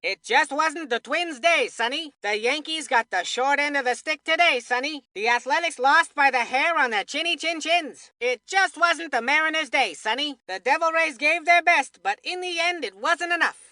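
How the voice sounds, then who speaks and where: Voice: high voice, normal speed, few pauses, bright, forceful tone, no echo. An actor playing a folksy old-timer baseball commentator in a cartoon video game, with old-timey phrasing. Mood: sympathetic. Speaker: sports commentator.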